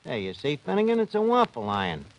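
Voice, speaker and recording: An actor playing a brash tavern manager who wisecracks with ungrammatical street talk, in 1940s radio comedy style. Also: male voice, a little high, huge pitch swings, fast, lo-fi sound. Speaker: host of the show. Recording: noisy 1940s radio broadcast.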